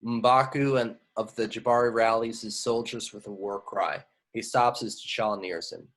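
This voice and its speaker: A man's voice: on the low side, steady tone, normal speed, fairly bright tone. A man reading screenplay action lines aloud as narrator in an amateur table read. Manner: stumbles on invented place names.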